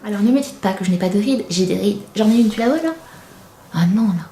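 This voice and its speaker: Woman, thirties, beauty vlogger, warm dark tone, casual chatty review, self-deprecating, roomy echoing sound. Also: mid-range voice, fast talker, a little hiss or hum. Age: 30s. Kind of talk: casual product review to camera. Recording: roomy, echoey indoor sound.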